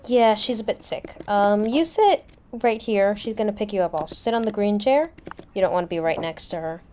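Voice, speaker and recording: A woman's voice: medium pitch, animated, normal speed, dark tone, lightly acted. A woman playing a helpful school teacher in a casual improvised game roleplay. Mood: concerned, reassuring. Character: attentive school teacher and lunch server at private school. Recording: noisy home mic with room ambience.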